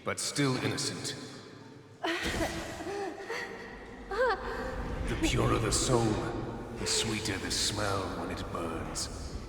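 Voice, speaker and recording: A man voicing a vengeful, scornful dark lord in a fantasy game, speaking gravelly, grandiose threats. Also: fairly low pitch, a little slow. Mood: cold menace. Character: vengeful male dark lord consumed by darkness, menacing and scornful. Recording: cavernous long reverb, distant, noisy backdrop.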